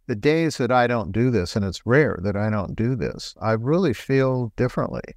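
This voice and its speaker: A middle-aged man, a doctor co-hosting a health talk show, explaining things in a relaxed, conversational way. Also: medium pitch, moderate pace, dry recording, clean studio sound. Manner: explanatory, relaxed, laughs lightly.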